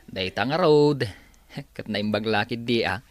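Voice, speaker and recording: Casting: upbeat young farmhand, very animated pitch, Ilocano accent, radio drama. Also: male voice, unhurried, no room sound. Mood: cheerful. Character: cheerful, polite young male farm worker. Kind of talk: Filipino radio drama acting.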